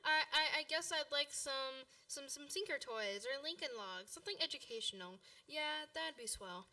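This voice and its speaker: A woman's voice: high-pitched, expressive, moderate pace, pushed and bright, far from the mic, heavily acted. A woman playing an eager, scheming young boy in a radio play, with an earnest, boyish manner. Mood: nervous backpedaling.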